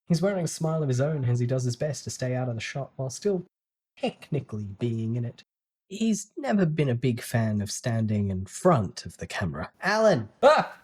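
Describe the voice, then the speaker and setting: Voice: mid-range voice, very animated, brisk, warm tone, slightly rough. A narrator giving a dramatic, scripted reading of a novel.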